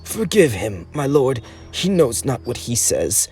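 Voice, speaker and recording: A man's voice: medium pitch, expressive, moderate pace, a little mellow, faint music behind, pitched above the performer's own voice, lightly acted. A man doing a pleading elderly defense lawyer. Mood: sorrowful. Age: older. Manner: pleading, pious.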